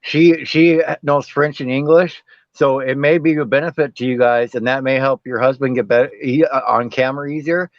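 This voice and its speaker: Man, mid pitch, easygoing, rambling, slightly muffled dull audio, dry. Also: steady tone, normal speed, no room sound. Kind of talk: casual interview chat.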